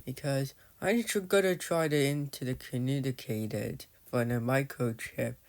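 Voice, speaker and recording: A man's voice: medium pitch, unhurried, vocal fry, noisy background. A man giving casual, rambling commentary on his game, often losing his thread and calling out to viewers as "guys". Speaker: gamer narrating his play.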